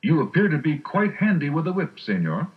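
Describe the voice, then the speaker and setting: A man's voice: on the high side, sing-song, a little fast, pitched above the performer's own voice. Man putting on a suave, lilting aristocratic don. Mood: haughty, questioning. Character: suave aristocratic don, male, polite, coolly inquisitive. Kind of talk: dramatised storybook reading. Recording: muffled storybook recording.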